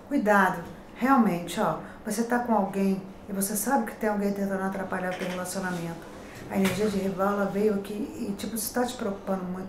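A middle-aged Brazilian woman with a Rio accent reading daily horoscopes to camera, warning and advising in a chatty manner, calling out each sign. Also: mid-range voice. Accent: Rio de Janeiro Portuguese. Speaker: tarot reader and horoscope host. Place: pink-walled room at a table with cards. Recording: echoey room, faint noise.

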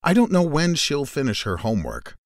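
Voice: mid-range voice, huge pitch swings, normal speed, no echo, studio quality. A speaker reading the translation of each drill sentence in a short scripted read-out. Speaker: English translation reader.

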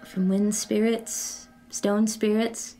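Measured, mysterious reclusive woman played by an actress. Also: mid-range voice, level tone, dark tone, faint music behind, lightly acted, low-quality recording. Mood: calm, eerie. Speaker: actor in an audio drama. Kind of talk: naturalistic audio drama acting.

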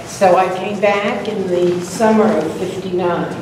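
A woman's voice: on the low side, fairly even intonation, unhurried. A woman in her eighties from Long Island, telling personal memories to an audience. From Long Island, New York. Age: 80s. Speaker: college alumna, audience speaker. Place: lecture room with seated audience. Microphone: distant camera microphone. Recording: echoey room, distant mic, clear noise.

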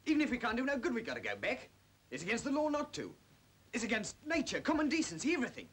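A man's voice: extremely high pitch, wildly swooping pitch, fast talker, fairly bright tone, husky, heavily acted. An actor playing a stubborn, honest young man in a 1940s British film drama. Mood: insistent. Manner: blunt, earnest.

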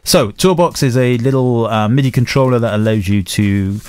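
A man co-hosting a podcast at a middle pitch, explaining gear in a relaxed, plain way. His voice is close on a studio microphone, with a very dry sound and no room around it. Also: moderate pace, clear, resonant tone, dry recording. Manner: easygoing, explains things plainly, laughs often. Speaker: podcast co-host. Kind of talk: casual podcast chat and product explanation.

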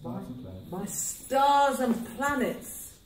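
A woman playing a wary, flattering marriage broker in an amateur stage pantomime, with halting theatrical delivery. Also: high-pitched. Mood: worried, wondering. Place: village hall stage, indoors. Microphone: distant camera in the audience. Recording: distant, echoey hall with audience noise.

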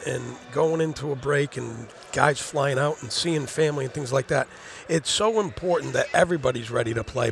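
A man's voice: medium pitch, vocal fry. A middle-aged man, an assistant basketball coach, giving a rambling postgame interview on a headset mic in a studio set, often repeating words as he thinks. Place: studio set in a gym, indoors.

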